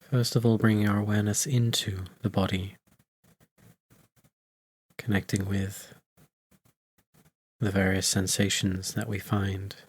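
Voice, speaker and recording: A man leading a guided meditation as a teacher, in soothing phrases with long, unhurried pauses. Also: fairly low pitch, little pitch movement, husky.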